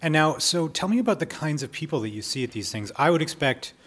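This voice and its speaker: A man's voice: fairly high pitch, lively intonation, quick pace, fairly bright tone, husky. A man with a Canadian accent hosting a casual, engaged, conversational interview. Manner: informal, engaged. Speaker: interview host.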